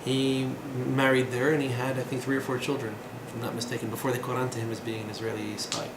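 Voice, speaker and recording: Guy in his 30s giving a loose class, gravelly voice, jokey asides, echoey room with some noise. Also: mid-range voice, flat delivery, fast talker. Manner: chatty, digressive, sardonic humor, frequent fillers. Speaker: rabbi teaching a class. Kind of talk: informal lecture with asides and jokes. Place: small indoor room.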